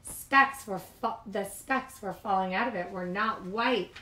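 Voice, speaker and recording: Lady doing storytime for little kids, expressive teacher style, informal, medium pitch. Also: measured pace, poor audio. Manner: expressive, informal, addressing young children. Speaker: childcare teacher reading aloud. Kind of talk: children's picture-book read-aloud.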